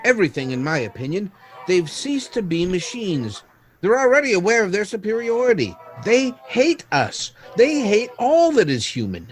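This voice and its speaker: A man playing an anguished scientist in a 1930s-style radio drama, speaking in a grave, halting, theatrical way. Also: high-pitched, sing-song, faint music behind. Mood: anguished, confessional. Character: anguished male scientist confessing to tampering with robots.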